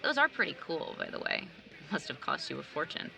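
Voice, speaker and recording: A woman's voice: deep voice, sing-song, fairly quick, vocal fry, sharp tone, soft background music, exaggerated voice. A young woman playing a sarcastic, blunt nineteen-year-old younger sibling in a naturalistic audio drama. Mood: playful, snarky. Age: young adult. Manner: sardonic, blunt, casual.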